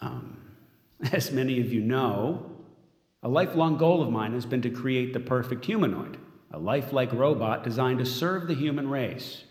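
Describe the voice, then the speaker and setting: A man's voice: mid-range voice, animated, moderate pace, darkish tone, close to an everyday voice. Echoey, smug tech mogul played by a man. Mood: proud, boastful. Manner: polished, self-satisfied public speaker. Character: smug, controlling tech mogul unveiling his android. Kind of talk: audio drama stage speech. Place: large event room as staged, crowd behind. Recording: echoey hall with crowd behind.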